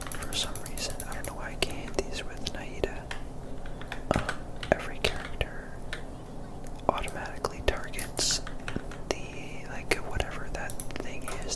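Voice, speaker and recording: A woman doing ASMR gameplay commentary, musing aloud in a casual, unhurried way. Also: rough recording. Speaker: gaming streamer.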